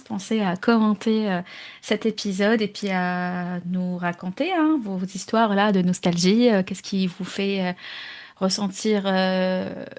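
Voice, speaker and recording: A woman's voice: mid-range voice, a little mellow. Woman co-host wrapping up a chat podcast. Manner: friendly, wraps up the episode, laughs easily. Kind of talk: casual conversational podcast.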